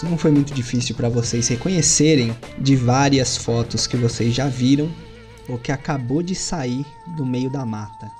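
A Brazilian man narrates a tabletop game as its game master, in a mellow, relaxed voice of middle pitch. He tells the scene with animated jumps in pitch, and faint music plays behind him. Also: moderate pace. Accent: Brazilian Portuguese. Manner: animated storytelling with sudden lifts in pitch.

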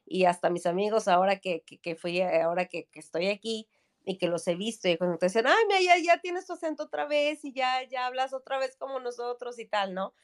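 A woman's voice: a little high, expressive, brisk. A woman in her thirties from Mexico City with a Mexico City Spanish accent, a podcast guest chatting casually with many fillers and tag questions. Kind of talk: casual chat.

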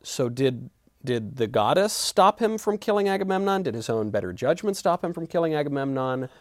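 A man's voice: fairly high pitch, very animated, brisk, no echo. Inquisitive male interview host, dry studio sound. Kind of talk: conversational book discussion.

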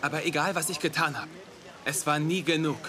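A man's voice: on the high side, fairly even intonation, fairly quick, a crowd in the background, lightly acted. An actor playing an earnest, wounded young man in a dramatic dialogue scene. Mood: hurt, defensive. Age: young adult. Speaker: actor in an audio drama or dubbed series.

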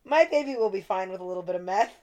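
A woman's voice: mid-range voice, animated, fast, darkish tone. A young woman co-hosting a casual podcast, laughing often and swearing freely. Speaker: podcast co-host. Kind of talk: casual chat.

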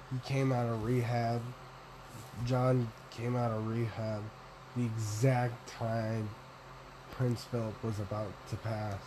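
A young man with a deep voice, rambling through a solo vlog, self-deprecating and swearing casually. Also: background noise. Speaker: podcaster and vlogger.

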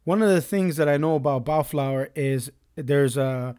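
Upbeat higher-voiced podcast host. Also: male voice, moderate pace, clean tone. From Southern California. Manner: lively storyteller who does voices for people in his stories. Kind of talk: casual faith chat.

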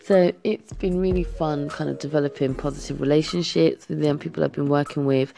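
A young adult British youth worker chatting casually to camera, croaky from just waking up, earnest and full of fillers. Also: mid-range voice, fairly even intonation, fairly quick, dark tone. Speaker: youth worker and gaming vlogger.

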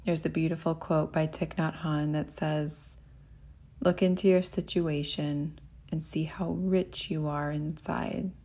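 A woman meditation teacher leading a guided meditation in a gentle, soothing, unhurried way. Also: deep voice, flat delivery.

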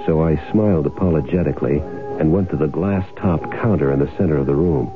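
A man's voice: rumbling low, brisk. An actor playing a sly, self-satisfied swindler who tells his own crime story in wry, casual slang, in the style of 1970s radio drama. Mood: smug, wry. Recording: tinny, band-limited old radio broadcast, music behind.

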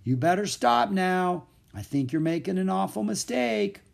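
A man voicing a big, good-humored truck driver in a storybook, with a slow, drawn-out delivery. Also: fairly high pitch, animated, creaky, mellow, breathy edge, exaggerated voice. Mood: slow warning.

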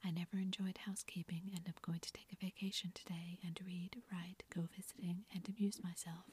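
A woman whispering the part of a relaxed, offhand middle-aged mother in a storybook reading. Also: on the low side, a little fast, breathy edge. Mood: breezy.

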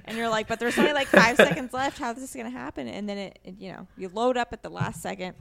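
A woman's voice: on the high side, fast talker, gravelly, a crowd in the background. A woman co-hosting a podcast in casual discussion, often thinking aloud as she goes. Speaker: podcast co-host.

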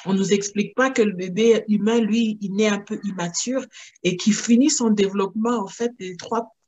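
A woman from Cameroon, a guest founder of a maternal wellness business, speaking casually in an interview and pausing often to restart her thoughts. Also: medium pitch, steady tone, a little mellow, slightly rough, highs cut off. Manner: thoughtful, with frequent hesitations and restarts.

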